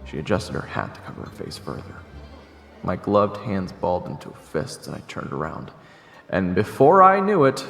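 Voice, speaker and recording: Snarky young male adventurer played by a man, animated audio drama storytelling with wry sarcasm, gravelly fry. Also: on the low side, exaggerated voice. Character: sarcastic young male traveler narrating his own adventure. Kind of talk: first-person dramatic narration and dialogue. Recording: roomy echo with long reverb, music behind.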